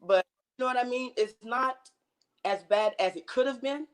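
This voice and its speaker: A woman's voice: on the high side, normal speed. An American woman in her late thirties speaking casually and with passion as an interview guest and activist. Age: late 30s. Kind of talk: casual, impassioned conversation.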